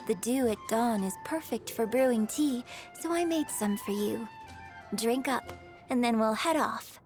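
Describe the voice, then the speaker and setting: A woman's voice: high voice, breathy edge, exaggerated voice. A woman voicing a gracious young opera troupe director in a video game, with formal, poised and theatrical phrasing. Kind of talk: scripted video game character lines. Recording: music playing behind the voice. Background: music.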